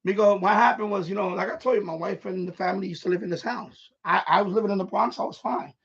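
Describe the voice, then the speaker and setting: A man with a husky voice, telling stories in a blunt, repetitive, sweary way on a casual live stream. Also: high voice, fast. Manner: blunt, profane, repeats himself, animated. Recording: dull, lo-fi livestream audio.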